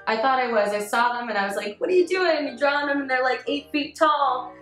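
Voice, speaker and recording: Expressive woman in an echoey room. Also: sits high, a little fast. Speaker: review show panelist. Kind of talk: casual fan review discussion. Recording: roomy echo, faint background music.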